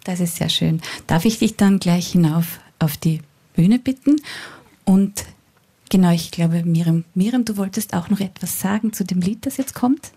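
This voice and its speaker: A woman with a husky, slightly low voice hosts a literary evening live. She introduces and questions her guests, often pausing and restarting her sentences. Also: warm tone. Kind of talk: live event introduction and interview.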